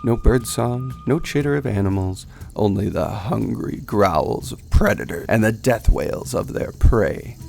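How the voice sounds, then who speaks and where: A man reading dramatic scripted fantasy narration in a solemn storyteller manner, with a creaky voice. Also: on the low side, unhurried, few pauses, a little mellow, faint music behind.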